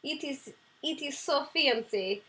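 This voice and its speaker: Woman doing a grand fake-Russian lady, posh, broken grammar, a bit higher than her usual voice, bright and edgy tone. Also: animated, takes it slowly, heavily acted. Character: fancy Russian woman named Mila.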